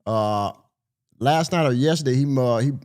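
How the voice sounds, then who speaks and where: A man's voice: medium pitch, moderate pace, a little mellow, clear, resonant tone. A man with a cold, sounding nasal, casually hosting a livestream and talking loosely to his viewers. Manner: loose, rambling, talks to viewers. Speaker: podcast host.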